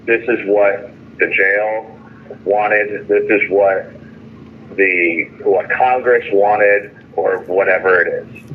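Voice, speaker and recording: A man's voice: lowish voice, steady tone, takes it slowly. A man calling in over a noisy phone line, complaining in a frustrated, emphatic way and repeating his phrases. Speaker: jailed caller. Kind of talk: phone call venting about jail and legal case. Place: phone call from jail. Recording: tinny phone line with noise.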